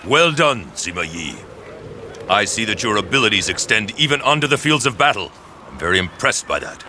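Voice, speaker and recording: A commanding visionary warlord, low and intensely forceful. His delivery is grand and assured, with lively rises and falls, in video game cutscene style. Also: brisk, a little hiss or hum, exaggerated voice. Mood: approving. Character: commanding, visionary male warlord of ancient China.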